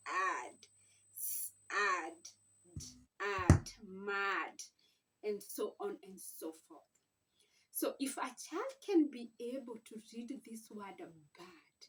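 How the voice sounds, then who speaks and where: A woman giving an informal reading lesson to parents, explaining deliberately and posing questions as she teaches. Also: medium pitch. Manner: deliberate, sounds out letters and words, asks rhetorical questions. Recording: slightly distant microphone.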